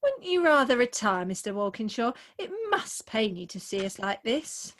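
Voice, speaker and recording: An actress plays a young Victorian bride with a high, girlish pitch. She is sentimental and jealous, declaiming every line with mannered earnestness, as in a comic radio play. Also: sing-song, moderate pace, fairly bright tone. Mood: high-minded, reproachful. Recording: remote home video-call recording.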